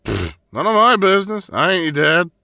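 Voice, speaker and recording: A man's voice: high voice, big pitch swings, brisk, vocal fry, sharp tone, no echo, full character voice. A man playing a laid-back young brother in an audio drama, with a dry, teasing manner. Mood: dry, casual.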